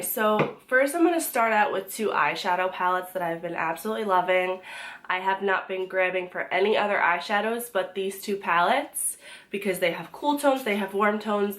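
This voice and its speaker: A young woman talks through her favorite beauty products in a relaxed, chatty way, her voice a little hoarse from being sick. She pauses often on "um" and restarts her sentences as she goes. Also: medium pitch. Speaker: beauty vlogger. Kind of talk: casual product review to camera.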